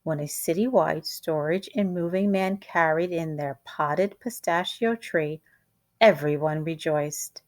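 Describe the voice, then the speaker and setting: Female storybook reader, teacherly and expressive, unhurried pace, deliberate dramatic delivery for young children. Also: low-pitched. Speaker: teacher reading a picture book aloud.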